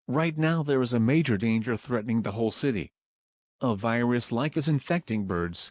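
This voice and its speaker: A man playing a formal morning TV news anchor, reading a scripted bulletin in a measured way. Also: mid-range voice, darkish tone, no echo, lightly acted.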